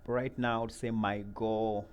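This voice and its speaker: A middle-aged man, from Uganda, answering interview questions about a mentoring program in an earnest, measured way, with many fillers and repeated words. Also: fairly low pitch, level tone, smooth.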